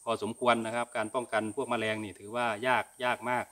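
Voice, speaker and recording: Middle-aged male host from Thailand, bright forceful tone, informal and polite explanatory delivery to viewers. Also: medium pitch, level tone, quick pace. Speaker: cattle farming channel host. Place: open-sided cattle barn.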